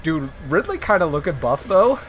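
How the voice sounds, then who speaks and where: Playful man with lively, swinging pitch. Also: moderate pace, soft background music, rough recording. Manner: playful, theatrical exclamations. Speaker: co-host of a reaction stream. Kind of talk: casual reaction commentary.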